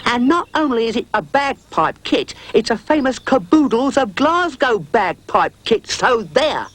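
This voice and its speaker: A man voicing a pompous, excitable male dog in a storybook reading, with blustering exclamations and grand airs. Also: very high voice, a little slow, pitched above the performer's own voice, exaggerated voice. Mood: huffy. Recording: music playing behind.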